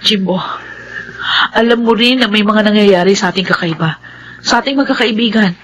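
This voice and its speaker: A woman's voice: medium pitch, moderate pace, a bit bright, close to an everyday voice, rough recording. A woman with a Filipino accent playing an anxious, suspicious young woman in an emotive radio drama style. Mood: uneasy, insistent.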